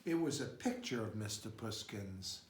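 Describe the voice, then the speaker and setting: A man reading a children's picture book aloud in an expressive, unhurried storytelling style. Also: lowish voice. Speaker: storybook reader. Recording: roomy, echoing sound.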